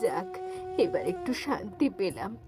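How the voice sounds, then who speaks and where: A woman's voice: mid-range voice, very animated, measured pace. A woman playing a caring mother who soothes her young boy yet stays firm, in a cartoon folk tale. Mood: soothing. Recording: music playing behind the voice.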